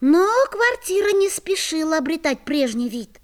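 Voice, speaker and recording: A woman voicing a little storybook narrator, telling a children's fairy tale in an expressive scripted way. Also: sits high, normal speed. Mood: storytelling.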